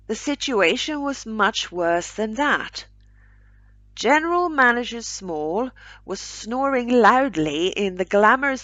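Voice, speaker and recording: Unhurried, expressive woman storyteller. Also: mid-range voice, no echo. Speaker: storyteller reading own short stories. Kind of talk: scripted storybook narration, read aloud.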